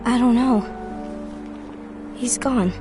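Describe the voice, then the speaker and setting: An actress playing an earnest, protective young masked leader in a video game, with an emotional delivery. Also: female voice, on the high side, slow. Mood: troubled, subdued. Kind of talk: dramatic video game voice acting. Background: music.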